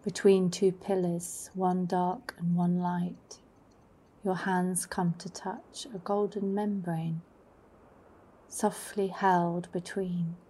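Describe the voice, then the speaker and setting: A woman narrating a poetic, meditative guided journey in a hushed, solemn, unhurried manner. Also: lowish voice, little pitch movement, slight background noise.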